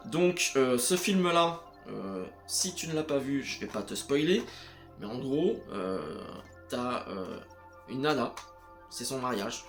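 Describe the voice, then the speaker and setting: Chatty French vlogger, lots of hesitations. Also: male voice, mid-range voice, a bit bright. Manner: hesitant, full of "euh" fillers, addresses viewer as "tu". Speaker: home cinema vlogger. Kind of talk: casual unscripted chat to camera.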